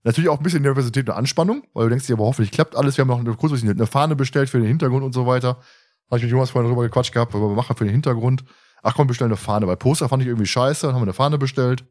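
A man's voice: medium pitch, racing, darkish tone. A man hosting a podcast in a casual, chatty way, often chuckling as he tells stories. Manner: chatty, often chuckles while telling anecdotes. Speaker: podcast host.

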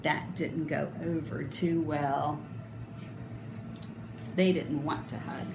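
A woman in her fifties reading aloud to children expressively, with deliberate, dramatic emphasis. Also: deep voice, fairly even intonation, vocal fry. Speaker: storybook reader. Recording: roomy, noticeable background noise.

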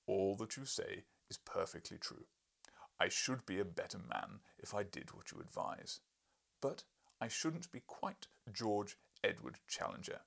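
Man playing domineering professor, audiobook, pompous, booming, gravelly, husky, mocking. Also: big pitch swings, measured pace, fairly bright tone, heavily acted. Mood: pompous, commanding. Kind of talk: audiobook character voice.

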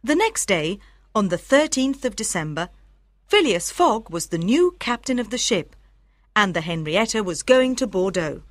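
A woman reading a scripted storybook narration in a clearly enunciated, expressive way. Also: mid-range voice, normal speed, dry recording. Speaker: audiobook narrator.

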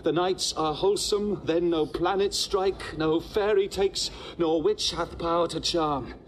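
An actor playing an awed, devout soldier on watch, in lyrical classical drama style. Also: male voice, fairly high pitch, fairly even intonation, measured pace, a little hiss or hum, natural voice. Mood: reverent. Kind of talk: classical stage drama acting.

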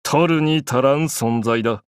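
An actor playing an arrogant, grandiose young pharaoh in an anime game, declaiming in an imperious, pompous way. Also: male voice, medium pitch, measured pace, clean tone, no room sound, clean studio sound, lightly acted. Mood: grand, haughty.

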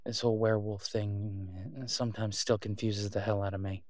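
A man's voice: lowish voice, little pitch movement, normal speed, a little mellow, no room sound, natural voice. A man playing a caring, easygoing boyfriend, dry close sound. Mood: concerned, soothing. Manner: conversational, hesitant, reassuring. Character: caring, easygoing human boyfriend of a werewolf woman. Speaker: audio roleplay performer. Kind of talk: intimate one-sided boyfriend audio roleplay.

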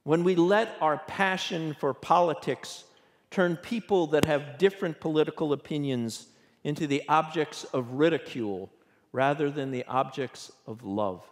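Older bishop preaching slowly in a reverberant church. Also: male voice, on the high side. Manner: measured, questioning preaching with open gestures. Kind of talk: sermon. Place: large church sanctuary, at a pulpit. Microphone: pulpit microphone.

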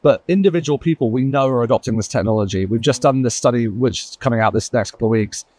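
A man on a discussion panel rattles off his points at a quick clip, with lots of asides. His voice is recorded close and dry, with no room sound around it. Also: medium pitch, darkish tone, breathy edge, dry recording. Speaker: roundtable guest.

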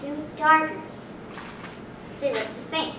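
A woman's voice: high-pitched, slow. A young female performer playing an imaginative little girl telling grisly tales in a staged reading, theatrically. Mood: urgent, wary. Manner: theatrical, declaiming. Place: small hall, staged reading before an audience. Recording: roomy distant camera recording, noisy background.

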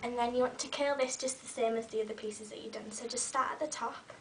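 A young woman with a cold talks casually as she curls her hair, her voice a little croaky and fairly high. She sits back from a webcam in a small bedroom, so she sounds a touch distant, with a faint hiss underneath. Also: fairly even intonation, fast, a bit bright. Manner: chatty, talks while styling hair, many ums. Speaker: beauty vlogger. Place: small bedroom with fairy lights.

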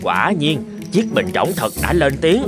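A man's voice: on the high side, wildly swooping pitch, unhurried. A man voicing a shrewd, confident fox who reasons things out in a cartoon fable. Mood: smug, explaining. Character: shrewd, confident male fox, clever and composed. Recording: loud music behind the voice. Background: loud jingling music.

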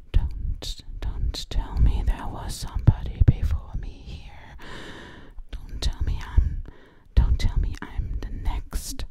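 An unhurried, airy whisper carries this ASMR roleplay, fearful and searching. The speaker murmurs worried questions and loops single words in soft repetition, never raising the voice above a hush. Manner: frightened, breathless questions to themselves.

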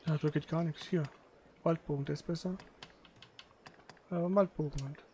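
A man's voice: fairly high pitch, fairly even intonation, moderate pace, darkish tone. A man doing casual gaming commentary, thinking aloud in a slangy, grumbling way. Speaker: let's player. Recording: clear background noise.

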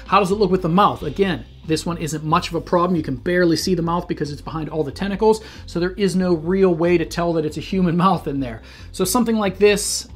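A man in his thirties, a channel host, chatting casually and explaining practical details in a small indoor studio. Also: a little high. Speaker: channel host reviewing masks. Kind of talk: casual review talk.

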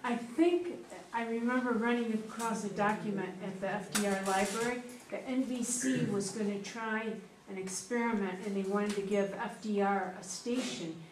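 A woman's voice: medium pitch, fairly even intonation, normal speed, few pauses, background noise. Distant woman chatting in an echoey room. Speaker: audience member. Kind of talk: casual contribution to a discussion.

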